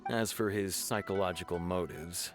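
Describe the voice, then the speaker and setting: A man's voice: low-pitched, fairly even intonation, moderate pace, faint music behind, lightly acted. A man voicing a stern, exasperated city officer in a video game, explaining things in a formal, dutiful way.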